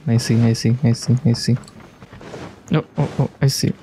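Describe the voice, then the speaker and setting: Male gamer, casual reactive commentary, mid-pitched and mellow, thinking out loud over game music. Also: fairly even intonation, normal speed, slight background noise. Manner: musing aloud, short reactive remarks. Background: video game music.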